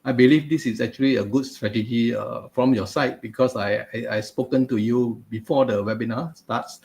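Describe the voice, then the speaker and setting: A man's voice: medium pitch, fairly even intonation, moderate pace, vocal fry, darkish tone. A man hosting a live webinar interview over a video call, chatty, with many fillers and asides. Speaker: host. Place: home office, video call. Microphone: video call webcam.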